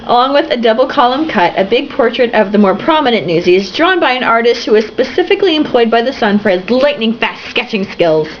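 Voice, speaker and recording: A woman's voice: a little high, lively intonation, brisk, few pauses. A young woman reading a novel aloud, tipsy, giggly and sarcastic, breaking off for swearing asides. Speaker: reader and commentator. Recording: dull lo-fi home recording with faint hiss.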